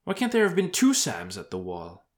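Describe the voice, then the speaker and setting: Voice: mid-range voice, wildly swooping pitch, moderate pace, voice pushed up. A narrator playing an indignant, plain-spoken lad in a comic storybook fantasy. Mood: puzzled. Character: indignant, touchy chubby lad, fantasy adventurer.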